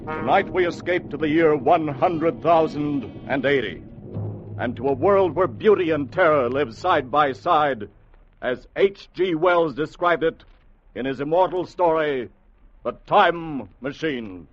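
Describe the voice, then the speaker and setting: An actor playing a dramatic adventure storyteller, grave, urgent and theatrical, in 1950s radio drama narration style. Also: male voice, on the high side, animated, unhurried, music in the background. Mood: ominous, grand. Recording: muffled, noisy vintage radio recording.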